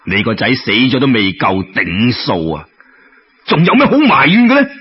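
A man's voice: medium pitch, wildly swooping pitch, husky, highs cut off, exaggerated voice. Man playing a scornful, drawling magistrate. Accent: Cantonese. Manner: scolding, sneering, drawn-out. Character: harsh, scornful male county magistrate.

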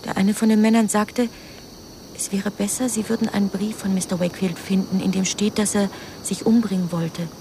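A woman's voice: mid-range voice, little pitch movement, moderate pace, noisy background, natural voice. An actress playing a resentful young woman confiding secrets hesitantly in a radio crime drama. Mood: confiding, bitter.

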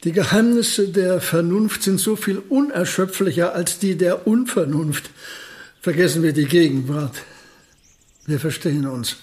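An actor in a German radio play voicing an elderly genius physicist, courteous, modest and quietly stubborn, with a deliberate, precise, formal delivery. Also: male voice, high voice. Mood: pedantic, resolute.

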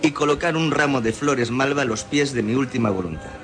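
An actor plays a dying man with a Castilian Spanish accent and a medium-pitched voice. He delivers a florid radio drama monologue, solemn and morbidly defiant, with music clearly playing behind him. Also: fairly quick, lightly acted. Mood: morbid, wistful. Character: dying man, a self-styled monster, morbidly defiant, scripting his own funeral. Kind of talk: scripted literary radio monologue.

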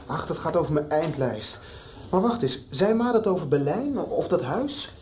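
A woman's voice: sits low, sing-song, moderate pace, mellow. A woman playing a secretive, anxious teenager in a Dutch radio soap, speaking evasively and hesitantly. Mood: guarded, curious.

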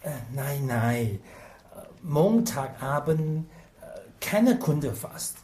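An actor playing an evasive, frightened Chinese head waiter in a radio drama, speaking terse, halting, broken German with a Chinese accent. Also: male voice, on the high side, sing-song, darkish tone, full character voice. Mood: cowed, halting.

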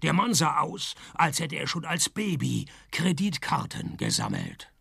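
An actor playing a cynical, wisecracking private detective in a radio play, deadpan and sarcastic, with a Swiss Standard German accent. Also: male voice, a little high, unhurried, gravelly, husky, heavily acted. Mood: dry narrating. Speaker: detective and first-person narrator. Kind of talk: radio play dialogue and scripted narration.

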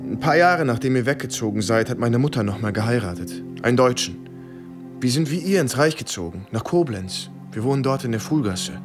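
A man's voice: medium pitch, lively intonation, brisk, lightly acted. Cornered young soldier, music underneath man acting. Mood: anxious, pleading. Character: cornered young American soldier John, anxious, pleading, posing as German officer. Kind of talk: radio drama acting and first-person narration. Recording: studio radio play with music underneath.

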